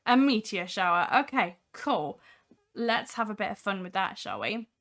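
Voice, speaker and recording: Chatty young woman with a husky cold voice. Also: mid-range voice, lively intonation, moderate pace, a bit bright. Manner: chatty, many ums, reads chat aloud. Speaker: livestream host. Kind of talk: casual software demo livestream.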